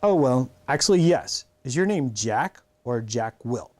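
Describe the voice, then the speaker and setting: A man's voice: mid-range voice, lively intonation, a little slow, a little mellow, natural voice. A man voicing a shy, nervous new schoolboy who mumbles hesitantly in a storybook read-aloud.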